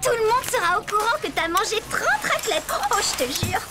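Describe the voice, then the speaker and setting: A woman voicing an excitable young schoolchild in a French cartoon, with gushing, exclamatory delivery. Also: extremely high pitch, normal speed. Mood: admiring. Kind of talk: French animated cartoon acting. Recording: loud music behind the voice.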